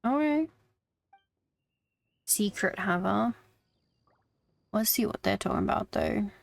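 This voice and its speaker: A woman's voice: mid-range voice, expressive, slow, creaky, no room sound. A woman streamer giving offhand, casual reactions while playing a game. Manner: offhand, brief remarks.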